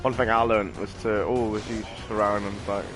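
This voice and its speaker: A man's voice: fairly low pitch, level tone, moderate pace, music in the background. A British man giving casual, rambling, sweary gameplay commentary. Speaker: gaming streamer.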